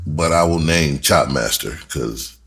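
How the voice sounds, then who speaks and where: A man talking casually as a vlog host and musician, telling stories in a halting way with pauses to think. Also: a bass voice, fairly bright tone, husky. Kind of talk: casual storytelling to camera.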